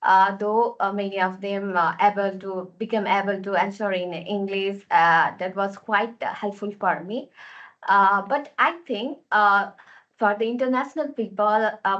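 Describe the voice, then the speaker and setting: A woman's voice: mid-range voice, steady tone, moderate pace, fairly bright tone, muffled recording. A young woman from Nepal with a Nepali accent, asking an earnest, detailed question from the audience. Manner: earnest, fluent, long questions.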